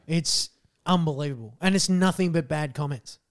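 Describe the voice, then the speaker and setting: An Australian man co-hosting a podcast in casual chat, hoarse after losing his voice, swearing and telling stories animatedly. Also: on the high side, normal speed. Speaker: podcast co-host.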